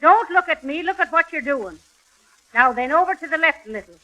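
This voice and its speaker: An actress playing a bossy, exasperated wife who nags in colloquial speech, in 1930s radio comedy style on a crackly old recording. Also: female voice, high voice, animated, a little fast, lo-fi sound. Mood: bossy, impatient. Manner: sharp, nagging, colloquial.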